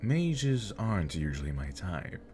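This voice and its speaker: A man's voice: sits low, sing-song, a little slow, darkish tone, soft background music. A man playing a smug, teasing elder demon nobleman with mocking politeness and flirtatious charm, in an ASMR fantasy roleplay. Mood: flirtatious, taunting.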